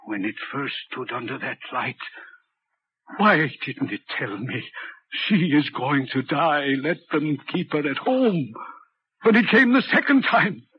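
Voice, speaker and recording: A man's voice: on the high side, expressive, slow pace. An actor in a 1940s radio drama playing a haunted, guilt-ridden railway signalman, speaking in an anguished, agitated way with emphatic repetitions. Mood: anguished, despairing. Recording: muffled, noisy vintage 1940s radio recording.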